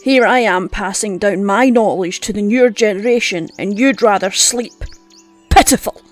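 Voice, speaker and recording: An actress playing a gruff, scolding old woman who teaches hunting with blunt scorn in an audio drama. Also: medium pitch, normal speed, lightly acted. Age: older. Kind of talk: audio roleplay drama acting. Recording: music under the voice.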